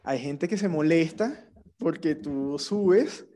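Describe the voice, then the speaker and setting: Mellow-voiced Venezuelan man chatting. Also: fairly high pitch, slow. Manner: relaxed storytelling with slang and restarts. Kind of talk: casual chat.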